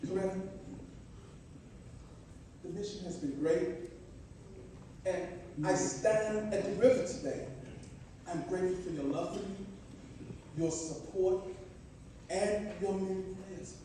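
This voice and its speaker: A man acts out a devoted husband and civil rights leader with solemn, oratorical conviction. The delivery is slow and deliberate, the tone mellow. It is a stage drama heard in a very echoey space. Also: high voice. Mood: earnest resolve. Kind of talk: stage play acting. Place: theatre stage, recorded from a distance. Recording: echoey stage, distant mic, faint noise.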